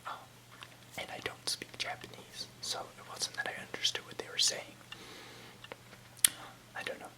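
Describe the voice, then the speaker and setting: Hushed ASMR whisperer. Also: low-quality recording. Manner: soft, unhurried whispering with no voiced speech. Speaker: ASMR channel host. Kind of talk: ASMR, whispered reading of an encyclopedia article with casual remarks.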